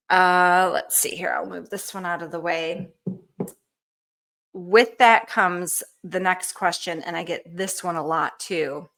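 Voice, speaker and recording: A woman hosting a live canning Q&A, chatty and enthusiastic, with frequent ums and a slightly hoarse voice. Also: fairly low pitch, sharp tone. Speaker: canning cookbook author hosting a live show. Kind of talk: casual live Q&A cooking demo.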